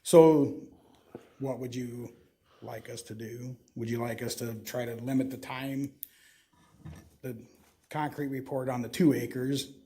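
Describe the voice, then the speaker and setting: A man's voice: mid-range voice, fairly even intonation, unhurried, pauses often, warm tone. A man applying to a city board, answering questions in a hesitant, hedging way. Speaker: applicant.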